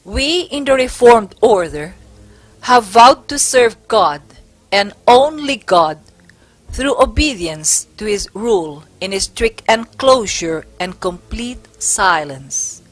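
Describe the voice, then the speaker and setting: A woman playing a devout, composed and firm Spanish prioress in a historical stage play, measured and courteous. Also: mid-range voice, expressive, low-quality recording.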